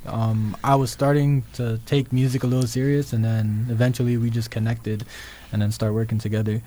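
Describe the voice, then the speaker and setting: Mellow-voiced young man. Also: mid-range voice, little pitch movement, brisk. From Toronto, Canada. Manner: hesitant, many ums and restarts. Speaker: guest musician. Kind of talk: casual interview chat.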